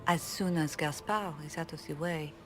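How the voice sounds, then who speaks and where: A woman's voice: low voice, moderate pace, soft background music, close to an everyday voice. An actress playing a prim, vain lady-in-waiting in a fantasy game, speaking in a formal, courtly manner. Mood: prim, assured. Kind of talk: video game dramatic acting.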